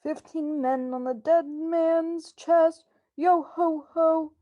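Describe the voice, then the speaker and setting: A woman playing a gruff, hard-drinking old pirate captain in a radio drama, terse and halting. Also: very high-pitched, steady tone, warm tone, dull audio. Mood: rowdy singing.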